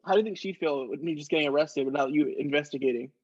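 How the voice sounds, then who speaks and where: A man's voice: on the high side, fast talker, darkish tone, slightly rough, lo-fi sound. A man acting in improvised comedy, protesting in a hesitant, absurd way.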